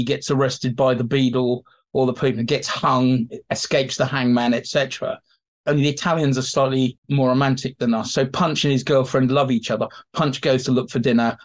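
A man speaking as an expert guest, explaining theatre history in an informal, anecdotal way. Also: medium pitch. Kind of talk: conversational explanation in a history interview.

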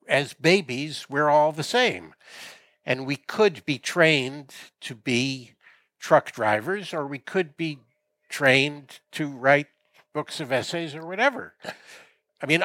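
An older male actor reflects in an interview, speaking at an unhurried pace. He is hesitant and searches for words as he goes. His voice sits at a middle pitch and the recording is dry, with no room sound. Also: fairly bright tone, no echo.

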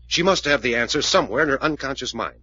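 Husky, intense radio detective. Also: male voice, medium pitch, lively intonation, fast, sharp tone. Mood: urgent, puzzling. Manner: urgent, insistent, commanding questioner. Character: intense, driven male investigator puzzling out a case. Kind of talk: 1940s radio detective drama acting. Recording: muffled old radio broadcast recording.

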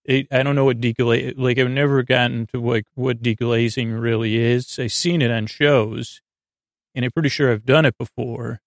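A man with a creaky, gravelly voice hosts a bedtime podcast. He meanders through improvised digressions, stopping and restarting mid-word. The sound is close and very dry, and his pitch sits in a middle male range. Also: steady tone, moderate pace, no room sound. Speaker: podcast host. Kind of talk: rambling improvised bedtime monologue.

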